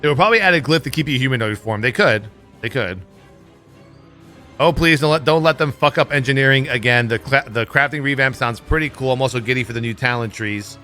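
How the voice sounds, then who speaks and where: A man with a bright, forceful voice commentates casually on a gaming livestream. He is blunt and opinionated and swears freely. Faint music plays behind him. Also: mid-range voice, brisk. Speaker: livestream host.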